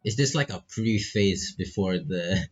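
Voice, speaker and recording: A man's voice: deep voice, a little slow, highs cut off. A man doing laid-back, casual live commentary on a visual novel, reading text aloud and swearing freely as he reacts.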